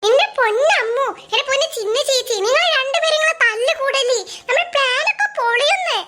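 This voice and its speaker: Tiny squeaky cartoon girl, super high and bright, giggly little schemer, teasing. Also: brisk, slight background noise. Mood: pleading, bossy. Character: mischievous scheming young girls, giggly, teasing cartoon kids. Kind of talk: Malayalam comedy cartoon dialogue.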